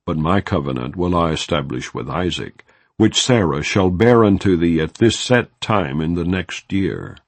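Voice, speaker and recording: A man narrating scripture in a measured, solemn scripted reading. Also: deep voice, animated, dry recording. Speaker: Bible narrator.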